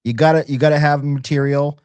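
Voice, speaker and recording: A man talking casually as a guest on a talk show, somewhat halting as he restarts his phrases. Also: on the high side, fairly even intonation, a little mellow, smooth. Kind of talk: casual chat.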